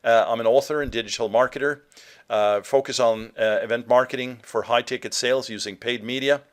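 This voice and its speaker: Male webinar presenter from Norway, medium pitch, conversational sales-teaching delivery with hesitations and fillers. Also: steady tone, a little fast. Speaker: presenter, digital marketer.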